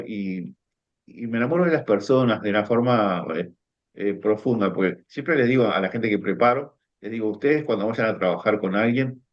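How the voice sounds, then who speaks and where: A man, a coach co-hosting a talk, speaking earnestly with many restarts. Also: on the low side. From Uruguay. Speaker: coach and teacher, co-host. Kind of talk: casual conference talk. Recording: dull lo-fi sound.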